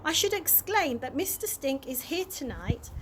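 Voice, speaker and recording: A woman's voice: high-pitched, sing-song, moderate pace, noisy background. A woman voicing a pompous male television presenter, formal and a little flustered, in a storybook reading. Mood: formal, apologetic. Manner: formal, uncertain.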